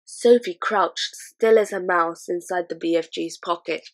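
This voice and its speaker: A woman narrating a children's story aloud in an expressive, steady way. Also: lowish voice, unhurried. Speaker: audiobook reader.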